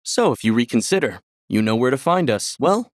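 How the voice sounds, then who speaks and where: An actor playing a courteous, encouraging tournament clerk in a video game, formal and articulate. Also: male voice, mid-range voice, big pitch swings, brisk, no room sound, clean studio sound, lightly acted. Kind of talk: scripted video game dialogue.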